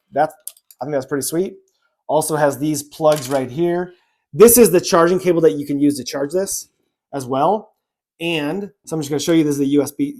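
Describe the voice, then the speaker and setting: A man's voice: fairly high pitch, a little mellow. A man giving a casual, loosely improvised product demonstration, repeating himself as he goes in an informal way. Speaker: product reviewer.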